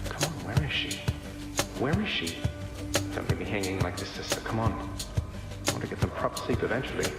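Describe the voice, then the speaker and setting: An actor playing a young underworld prince in a video game, a wry, casual and rebellious brother who speaks in dry understatement, with music behind him. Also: male voice, fairly low pitch, a little fast, lightly acted. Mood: impatient. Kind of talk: video game character dialogue. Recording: very echoey with long reverb, music behind.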